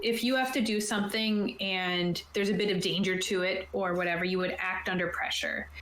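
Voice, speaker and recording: A woman's voice: medium pitch, fairly bright tone. Woman game master in an echoey room. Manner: informal, conversational. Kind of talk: casual explanation of tabletop game rules. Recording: roomy, echoey voice sound.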